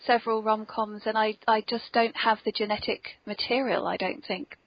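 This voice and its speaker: A woman with an English accent hosts a playful podcast interview in a fairly high voice. Her delivery is polite and theatrically expressive. The recording is dull and lo-fi. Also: moderate pace, dry recording. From Cornwall, England. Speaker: podcast host interviewing an author.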